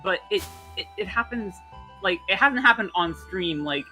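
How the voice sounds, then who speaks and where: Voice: mid-range voice, expressive, normal speed, pushed and bright, low-quality recording. A co-streamer talking candidly during a casual gaming chat, hesitant and full of ums. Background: game music.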